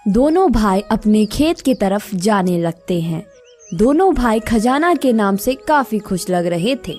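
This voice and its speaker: A woman's voice: medium pitch, sing-song, unhurried, a little mellow, faint music behind. A woman narrating a scripted moral story as the storyteller.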